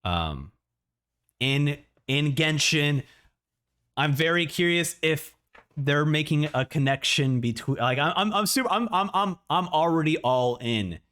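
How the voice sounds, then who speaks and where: A man in a casual livestream reaction, talking excitably and often repeating words as he thinks out loud. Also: on the high side, measured pace, clear, resonant tone. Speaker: streamer reacting to a video.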